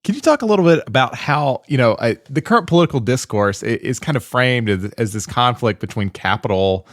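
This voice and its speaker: A man's voice: medium pitch, expressive, fairly quick, no room sound, studio quality. A man hosting a podcast, reading a scripted intro and then asking rambling questions with restarts. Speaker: podcast host.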